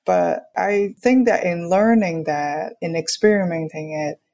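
Young woman from hong kong, pretty low voice, artist chatting in an interview, explains stuff and keeps checking in. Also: a little slow, darkish tone. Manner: explanatory, often checks with "right?". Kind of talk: conversational interview, reflective.

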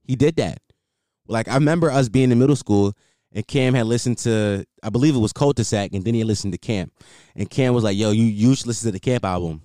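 A man's voice: fairly low pitch, brisk, warm tone, no room sound, studio quality. A young man rambling casually as a solo podcast host, repeating himself and filling with "like".

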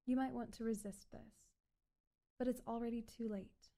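A woman performing an ASMR hypnosis roleplay as a calm, controlling doctor, speaking in a soothing, repetitive, coaxing way. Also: a little high, normal speed. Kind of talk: ASMR hypnosis roleplay, guided relaxation script.

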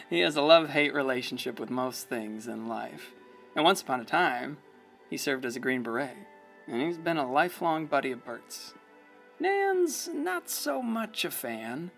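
A man's voice: fairly high pitch, very animated, a little slow, creaky, a bit bright, heavily acted. A male actor playing a wry, rambling small-town narrator in a radio play, folksy, theatrical and hesitant. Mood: wry, gossipy. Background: prominent music.

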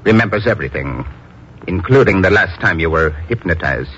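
Probing hypnotist doctor, swooping low voice. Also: male voice, expressive, a bit bright. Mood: commanding, probing. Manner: deliberate, clinical, insistent. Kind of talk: 1950s radio drama acting. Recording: muffled, noisy old radio recording.

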